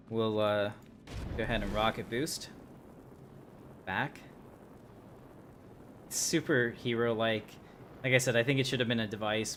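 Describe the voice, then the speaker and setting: A man's voice: lowish voice, a bit bright, slight background noise. A man casually commenting on his gameplay during a livestream, chatty and joking with viewers. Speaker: livestream gamer.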